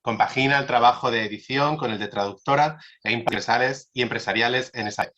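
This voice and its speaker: A man's voice: medium pitch, fairly quick, pushed and bright. A man hosting an interview, reading a formal introduction with stumbles and corrections. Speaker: interviewer and host. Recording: dull lo-fi sound.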